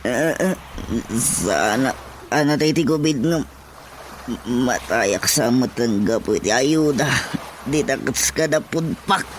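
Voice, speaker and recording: A man playing a sheepish, stubborn village councilor in a radio comedy drama, halting and stammering with a Filipino accent. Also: mid-range voice, lively intonation, slight background noise, rough recording. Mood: pleading.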